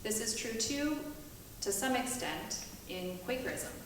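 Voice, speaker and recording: A woman reading a prepared talk as a panelist, earnest and deliberate, with emphatic repetition. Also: fairly high pitch, animated. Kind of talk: prepared speech read aloud at a panel. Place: echoey meetinghouse hall, distant mic. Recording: very echoey, distant mic, faint noise.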